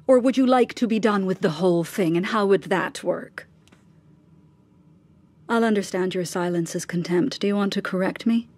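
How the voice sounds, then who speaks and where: A woman's voice: medium pitch, moderate pace, darkish tone, lightly acted. An actress playing a middle-aged mother in a radio drama, ruthless and scheming in her son's defence, with insistent, scornful, clipped questions. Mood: contemptuous.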